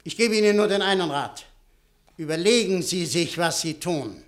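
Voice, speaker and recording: A man's voice: high voice, animated, normal speed. An actor playing a smug, menacing man who issues cold warnings in an old radio play. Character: menacing male schemer, smug, threatening. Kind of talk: 1950s radio play acting.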